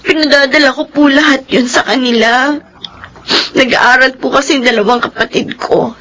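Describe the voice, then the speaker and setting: High-voiced, meek, devoted young daughter. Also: female voice, fairly even intonation, normal speed, pushed and bright, slight background noise, rough recording. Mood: tearful, sad. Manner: polite, emotional, pleading. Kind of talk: Filipino radio drama acting.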